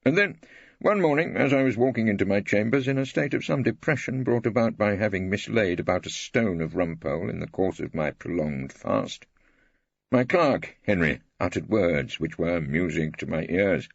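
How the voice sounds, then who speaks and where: A man playing a witty, weary old English barrister who narrates in a wry, pompous, husky voice. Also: deep voice, lively intonation, moderate pace, no room sound, dull audio, full character voice. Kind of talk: scripted first-person audiobook narration.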